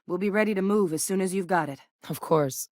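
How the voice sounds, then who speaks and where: A woman voicing a composed, principled female scientist officer in a video game, speaking in a measured, earnest, direct way. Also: fairly low pitch, a little mellow, no echo, clean studio sound, natural voice. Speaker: game companion character. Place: recording studio. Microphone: close studio mic.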